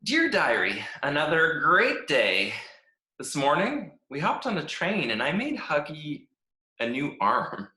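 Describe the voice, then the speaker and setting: A man reading a children's storybook as a cheerful, oblivious talking stick, gushing with naive excitement. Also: fairly high pitch, measured pace, voice pushed up, exaggerated voice. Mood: proud, upbeat. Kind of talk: animated children's storybook read-aloud. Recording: echoey room.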